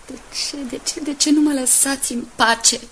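An anxious, proud young wife in a radio drama. Her voice is fairly high and soft, guarded and brief, with faint noise behind it. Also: fairly even intonation, rough recording. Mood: pleading, distressed. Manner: guarded, soft-spoken, curt. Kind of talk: 1980s radio play acting.

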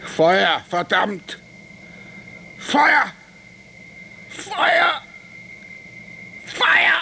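An actor playing a frantic military officer in a radio drama, shouting firing squad commands in a strained, gravelly voice. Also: male voice, very high voice, very animated, very slow, slight background noise, full character voice. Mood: frantic, furious.

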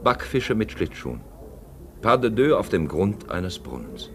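A man's voice: sits low, very animated, measured pace, gravelly, fairly bright tone, a little hiss or hum. A man narrating a literary radio feature from a script.

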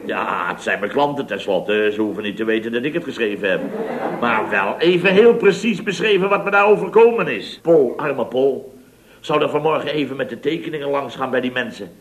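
A man's voice: mid-range voice, animated, brisk, a crowd in the background, lightly acted. An actor playing a blustery, exasperated male contractor boss in a radio comedy, speaking emphatically and theatrically and repeating himself. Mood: self-pitying indignation. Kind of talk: 1970s radio comedy acting. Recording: noisy vintage radio recording with clicks.

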